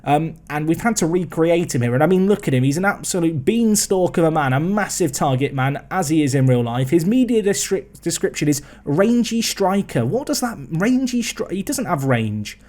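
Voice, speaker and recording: A man's voice: a little high, very animated, normal speed, hardly any pauses, a little mellow. A young man casually commenting on a football management game, chatty and self-mocking. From England. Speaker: gaming video host.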